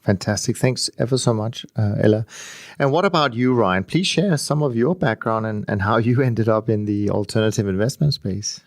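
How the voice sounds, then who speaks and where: A male podcast host courteously thanks each guest and brings in the next. His voice is on the low side. The recording is dry and close. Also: moderate pace, no echo. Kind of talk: interview hosting.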